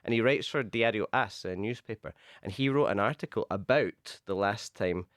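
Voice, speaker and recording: A man with a cold and a blocked-up nose, hosting a radio show that teaches English and explaining phrases slowly and patiently. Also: on the low side, a bit bright, no echo, studio quality. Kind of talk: bilingual radio lesson chat.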